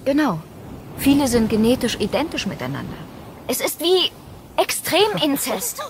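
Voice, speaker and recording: An actress playing a guilt-ridden geneticist and mother, speaking earnestly and often dropping into hushed tones. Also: sits high, wildly swooping pitch, moderate pace, slight background noise, full character voice. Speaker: scientist. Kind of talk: radio play acting.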